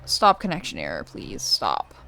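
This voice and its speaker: A young adult woman giving casual let's play commentary, chatty and slangy as she thinks out loud. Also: a bass voice, wildly swooping pitch, moderate pace, creaky. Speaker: gaming streamer. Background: game music.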